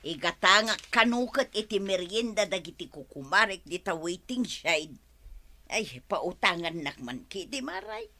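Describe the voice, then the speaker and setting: A woman's voice: fairly low pitch, sing-song, measured pace, sharp tone, no room sound, full character voice. A woman acting a talkative, complaining, penniless villager in an Ilocano radio comedy drama, with an Ilocano accent.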